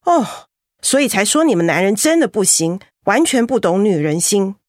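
A world-weary woman, played by an actress in a radio drama, medium in pitch and recorded dry. She chides in a wry, exasperated tone. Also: very animated, normal speed, no echo, close to an everyday voice. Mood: chiding. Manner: wry, chiding.

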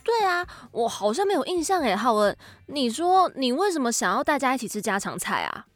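Actress as breezy young female dorm mate, coaxing, highish animated voice, Taiwanese Mandarin, audio drama. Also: fairly quick, faint music behind. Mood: curious.

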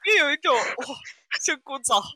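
A woman's voice: high-pitched, sing-song, moderate pace, pushed and bright, dry recording. A woman co-hosting a podcast, chatting casually about audio dramas in a playful, teasing way. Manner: playful, giggly, teasing storytelling. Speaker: podcast co-host.

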